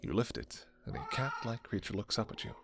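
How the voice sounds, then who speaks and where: A man narrates a scripted fantasy romance as its storyteller. His voice is on the low side, and faint music plays behind him. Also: big pitch swings, fairly quick, gravelly. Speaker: narrator and voice performer.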